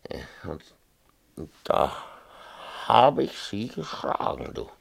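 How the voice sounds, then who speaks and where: A man's voice: lowish voice, very animated, slow pace, creaky, husky, full character voice. An actor playing a tipsy, middle-aged small-town mayor and father, ranting confessionally and seeking agreement in a radio drama. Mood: remorseful, agitated. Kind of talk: 1970s East German radio drama acting.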